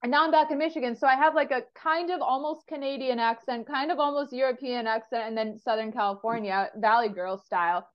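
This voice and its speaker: Female host, American, high-pitched, friendly chat, lo-fi recording. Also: fairly even intonation, a little fast, hardly any pauses. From United States. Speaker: interviewer. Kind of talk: casual conversation.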